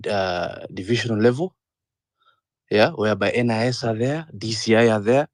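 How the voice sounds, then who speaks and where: A man in his thirties with a Kenyan accent, speaking earnestly in a panel discussion, often pausing on "uh" and repeating his points. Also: on the low side, no echo. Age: 30s. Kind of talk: impassioned discussion, mixing English and Swahili.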